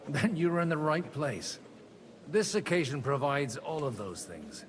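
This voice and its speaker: Earnest medieval envoy, very lively intonation. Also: male voice, fairly high pitch, unhurried, a little hiss or hum. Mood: persuasive. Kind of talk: video game dialogue acting.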